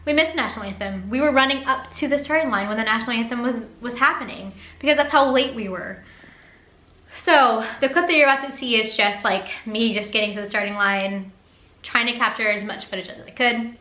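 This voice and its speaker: A young woman with a high-pitched, bright voice tells a story casually to her followers. She is chatty and animated, slipping into quoted dialogue, and the room adds a clear echo. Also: brisk. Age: young adult. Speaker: vlogger. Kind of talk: casual story time vlog. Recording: roomy echo.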